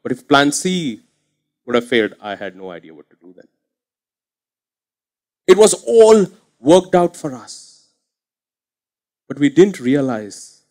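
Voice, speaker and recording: A man in his thirties preaching a sermon on a church stage into a headset mic, earnest and swinging from quiet asides to emphatic points. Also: medium pitch. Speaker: pastor. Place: church stage with curtain backdrop.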